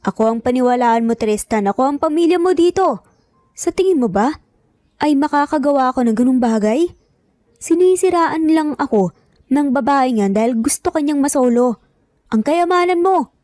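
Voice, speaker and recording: A woman's voice: high voice, expressive, moderate pace, long pauses, mellow, dry recording, voice pushed up. A woman voicing a menacing, scornful older uncle, the villain of an audio romance, with a sneering, indignant manner. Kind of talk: dramatised audio romance storytelling.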